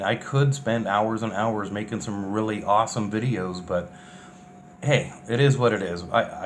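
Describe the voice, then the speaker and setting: Male vlogger, unscripted and offhand, everyday plain talk, faint background noise. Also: lowish voice. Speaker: vlogger documenting a bus build. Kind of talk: casual unscripted vlog chat.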